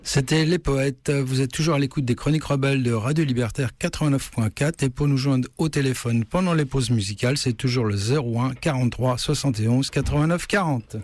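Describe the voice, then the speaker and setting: A man's voice: medium pitch, fairly quick, few pauses, darkish tone. A man making a station announcement on the radio. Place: radio studio.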